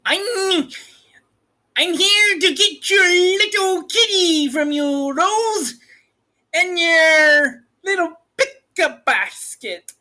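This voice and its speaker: A gleeful wicked witch acted out by a man in a playful storybook skit. The voice is high and piercing, teasing and theatrically menacing. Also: expressive, drawn-out words, sharp tone, full character voice. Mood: gleeful menace.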